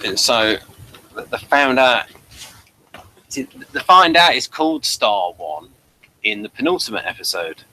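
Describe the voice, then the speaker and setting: Bright-voiced man, halting review chat. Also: mid-range voice, very animated, a little hiss or hum, rough recording. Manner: hesitant, halting, repeats words. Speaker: host of a review show. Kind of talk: casual audio drama review chat.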